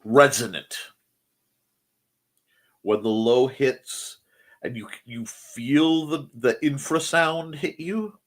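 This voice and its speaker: Husky middle-aged man, slow and wandering. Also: mid-range voice. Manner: rambling, searching for words, wry asides. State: recovering from losing his voice. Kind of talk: casual chat.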